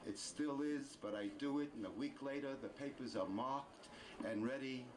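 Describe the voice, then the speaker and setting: An older man from Brooklyn with a New York accent, telling a spoken-word comic story at a live venue, animated and full of sound effects. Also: mid-range voice. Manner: animated, with vocal sound effects and quick character voices. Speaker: storyteller performer. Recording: noisy live room recording.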